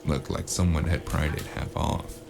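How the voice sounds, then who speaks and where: A man narrating a noir audio drama as the lead, dry and wry, with a deadpan manner, over a music score. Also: a bass voice, moderate pace, vocal fry. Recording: music score playing underneath.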